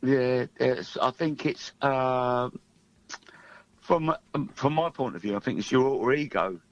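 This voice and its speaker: A man's voice: mid-range voice, measured pace, no room sound, lo-fi sound. A middle-aged man who grew up in Australia, chatting casually as a musician guest, rambling and repeating phrases for emphasis. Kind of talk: casual chat.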